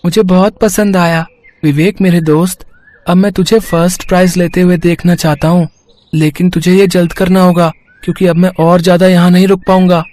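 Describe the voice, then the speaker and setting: Man doing a kind boy-god in a storybook, soft encouraging voice, a touch high-pitched. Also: little pitch movement, moderate pace, natural voice. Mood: gentle. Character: gentle boy Ganu, god Ganesh in disguise.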